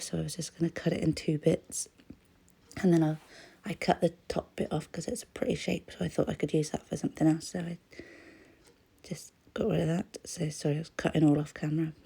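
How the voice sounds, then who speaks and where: A croaky-voiced woman, chatting casually as she crafts, often trailing into a whisper. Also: low voice, mellow. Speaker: crafting vlogger. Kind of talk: casual voice-over chat while crafting.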